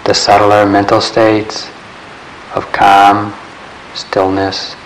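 A man leading a guided meditation in a calm, measured way, leaving long pauses between short phrases. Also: fairly low pitch, rough recording. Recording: steady background hiss.

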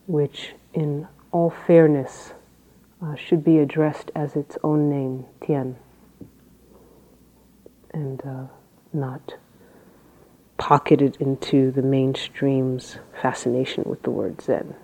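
A woman Zen teacher with a low voice, giving an unhurried dharma talk with halting phrases and long pauses. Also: level tone, mellow, low-quality recording.

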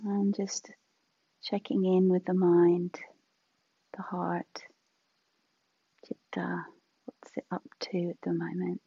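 A woman meditation teacher giving gentle guided instruction in a hushed voice that trails off, with long pauses, on a slightly muffled recording. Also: on the low side, level tone, vocal fry, slightly rough, no echo.

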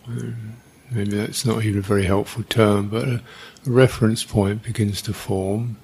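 Male meditation teacher, husky and fairly low voice, unscripted guidance with long, halting gaps. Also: steady tone, a little mellow. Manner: unhurried, halting, with long pauses.

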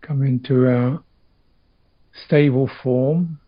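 A man teaching a guided meditation in short, reflective phrases with long pauses, his voice gravelly and husky. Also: medium pitch, steady tone, lo-fi sound.

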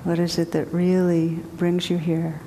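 A woman teacher guiding a group meditation in a gentle, unhurried way with long pauses. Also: completely flat delivery. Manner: slow, gentle, spacious pauses. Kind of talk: guided meditation. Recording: long hall reverb, faint rumble.

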